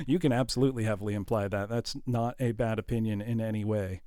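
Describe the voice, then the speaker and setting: A man's voice: lowish voice, steady tone, fast talker, mellow, clear, resonant tone, no echo, studio quality. A man hosting a livestream, chatting informally and enthusiastically while musing aloud. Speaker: livestream host. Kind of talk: casual livestream chat.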